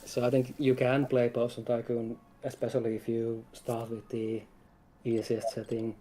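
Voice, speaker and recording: A man's voice: mid-range voice, monotone, moderate pace, mellow, faint music behind, low-quality recording. A man speaking casually and a little hesitantly as a game developer guest in an interview. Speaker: game developer, lead designer, stream guest.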